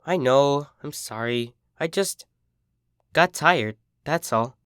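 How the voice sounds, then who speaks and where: A dejected young cartoon pegasus filly acted out by a story narrator speaks in a hesitant, defensive mumble. The pitch moves around a lot, and the sound is dry and close. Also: expressive, slow pace, a bit bright, clean tone, no echo. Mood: dejected, evasive.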